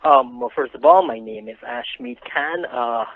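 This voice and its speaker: Middle-aged Guyanese male interviewee, husky and creaky, conversational storyteller, heard over a narrow phone line. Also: mid-range voice, normal speed, no echo. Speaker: interview guest, print business owner. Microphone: phone call.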